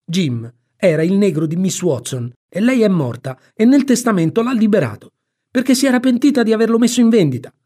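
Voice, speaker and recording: A man voicing a brash, excitable adventurous boy from a storybook, exclaiming and impulsive. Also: high-pitched, fairly quick, voice pushed up. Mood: excited, insistent.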